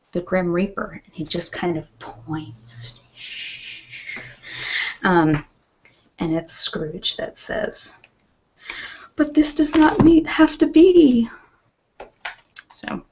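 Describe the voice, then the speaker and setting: A woman's voice: lowish voice, creaky. A woman chatting casually in a rambling, informal way as an ASMR host. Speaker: ASMR host and massage therapist. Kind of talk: casual live chat. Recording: dull, lo-fi sound.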